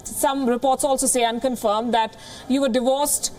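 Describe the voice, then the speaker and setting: A woman news anchor reading a scripted report and interviewing a guest, measured and crisp. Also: high voice, fairly even intonation, a little mellow. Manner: crisp, measured newsreader delivery.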